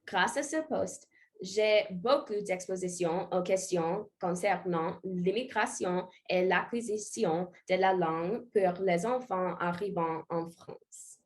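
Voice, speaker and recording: A woman playing a male government education official, speaking formally and reading statistics. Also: fairly low pitch. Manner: slow, formal, reads figures.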